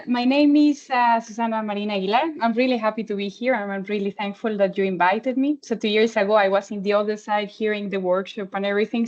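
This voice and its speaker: A woman's voice: mid-range voice, darkish tone. Woman with a mexican accent doing a friendly online talk, lots of ums, slightly muffled call audio. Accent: Mexican Spanish. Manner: friendly, halting, many fillers. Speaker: postdoctoral researcher giving a testimonial. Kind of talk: online presentation. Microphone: video call. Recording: dull lo-fi video call audio.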